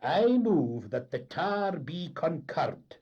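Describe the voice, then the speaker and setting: A man's voice: a little high, big pitch swings, slow, darkish tone, breathy edge, pitched above the performer's own voice, exaggerated voice. Man doing a drawling mate. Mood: pompous. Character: slow self-assured Scottish deckhand mate. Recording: dull lo-fi recording.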